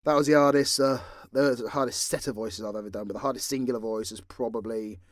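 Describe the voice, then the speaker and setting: A British man with a mid-pitched voice talks candidly through fan questions. His delivery is casual and unscripted, peppered with swearing and joking asides. The sound is close and dry. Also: fairly even intonation, quick pace, no room sound. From Britain. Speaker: voice actor and audio channel creator answering fan questions.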